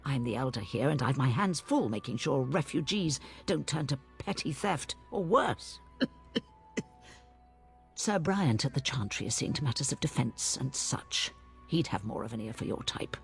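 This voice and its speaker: An actress voicing a weary, gruff older woman, a plain-spoken village elder in a video game, with a raspy voice. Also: rumbling low, expressive, normal speed, soft background music, exaggerated voice. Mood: weary, busy. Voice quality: very husky, raspy.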